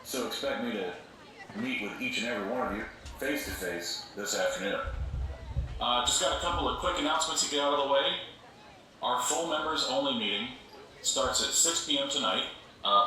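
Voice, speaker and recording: A man's voice: medium pitch, moderate pace, pushed and bright. A man playing a genial, showy organization leader giving an upbeat, folksy speech to members in an audio drama. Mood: upbeat, rallying. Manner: upbeat, folksy public speaker, salesy. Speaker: community engagement officer giving announcements. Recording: very echoey public-address sound with clear noise.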